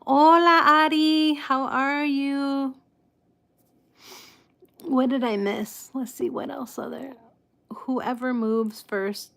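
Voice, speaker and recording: A woman from the United States talks casually to her live stream viewers. Her voice sits a bit high and she speaks slowly, with pauses and restarts. Her articulation is slightly impeded, giving her words a careful, halting feel. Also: fairly even intonation, gravelly, fairly bright tone. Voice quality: slightly impeded articulation from a false tooth and past stroke. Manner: halting, unhurried, greeting viewers by name.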